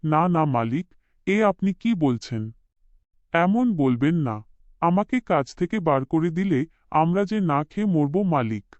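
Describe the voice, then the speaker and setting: A man's voice: fairly high pitch, animated, a little slow, a little mellow, dry recording. A man voicing a desperate poor working father in a cartoon, deferential and sorrowful, in a dull recording. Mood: pleading. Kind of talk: cartoon voice acting.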